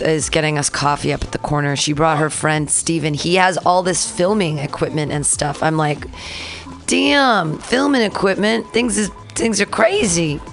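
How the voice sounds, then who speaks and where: A woman hosting a community radio show, chatting casually and telling rambling stories. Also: lowish voice. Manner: chatty, rambling, animated retellings. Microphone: studio mic.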